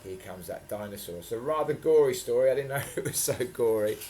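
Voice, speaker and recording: A man's voice: medium pitch, expressive, a little fast, warm tone, a little hiss or hum. A man reading a storybook dramatically and breaking off for chatty, playful asides.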